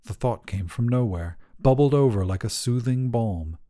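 A man's voice: medium pitch, moderate pace, dark tone. A man narrating scripted short fiction for a podcast.

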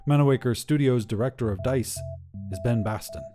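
A man's voice: medium pitch, fairly quick, over music. A man narrating scripted first-person short fiction for a podcast.

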